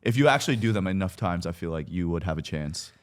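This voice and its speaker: A man's voice: low-pitched, expressive, fast. A man chatting informally on a podcast, telling things in a colloquial, conversational way. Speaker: podcast participant. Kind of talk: casual chat, anecdotes.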